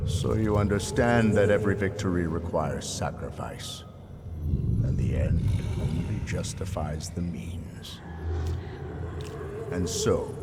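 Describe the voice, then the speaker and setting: Deep-voiced, coldly taunting spirit judge. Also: male voice, heavily acted. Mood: grave, probing. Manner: measured, theatrical, accusatory, condescending. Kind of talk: dramatic video game voice acting. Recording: music under the voice.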